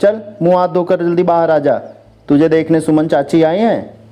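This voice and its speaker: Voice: medium pitch, moderate pace, warm tone, natural voice. A storyteller voicing a scolding, exasperated middle-aged mother with a nagging, bossy manner.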